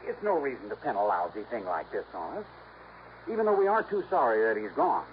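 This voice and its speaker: An American actor playing a glib, defensive, high-spirited young twin in a 1950s radio drama. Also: male voice, very animated, fairly quick. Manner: glib, protesting, insistent. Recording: dull old radio recording, faint noise.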